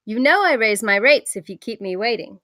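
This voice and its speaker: A woman playing a brisk, wry female adventurer for hire in a fantasy script read. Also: fairly high pitch, lively intonation, pushed and bright, no echo, clean studio sound.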